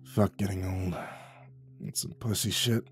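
A man doing a gruff old veteran neighbor. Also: mid-range voice, lively intonation, moderate pace, a little mellow, lightly acted. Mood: gruff, low. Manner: blunt, crude, grumbling.

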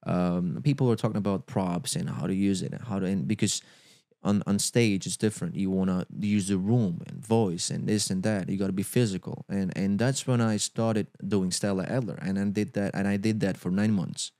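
Belgian man with a dark mellow voice. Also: low-pitched, fairly even intonation, creaky, no echo. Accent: Belgian Dutch. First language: Dutch. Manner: halting, many restarts and "you know"s. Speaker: actor guest. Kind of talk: casual interview chat. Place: podcast studio, indoors. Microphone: studio mic with headphones.

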